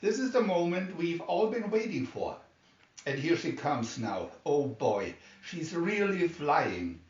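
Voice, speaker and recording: Guy doing an excited news reporter guy, gushing live commentary, storybook style, roomy and a bit far off. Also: fairly high pitch, takes it slowly. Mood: excited, awed. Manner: exclamatory, awestruck commentary. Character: excited male broadcast reporter announcing a spectacle. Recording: roomy, distant, slightly dull home recording.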